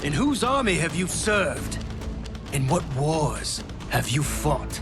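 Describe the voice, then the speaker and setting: A man's voice: a little high, big pitch swings, slow, music in the background, heavily acted. A man playing a contemptuous, battle-hardened soldier in a video game, haughty and menacing. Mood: scornful, menacing. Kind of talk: dramatic video game acting.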